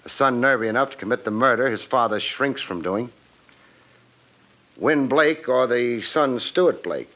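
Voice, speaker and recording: An actor playing a tough, wry private detective in a 1950s radio drama, narrating and questioning in a clipped, insinuating way. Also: male voice, mid-range voice, unhurried, a bit bright. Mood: skeptical. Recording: muffled old radio recording.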